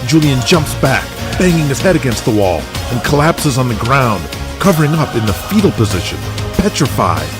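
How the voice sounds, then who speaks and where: A man's voice: medium pitch, very animated, moderate pace, few pauses, loud music behind the voice. A man giving scripted dramatic narration as the narrator of an audio drama.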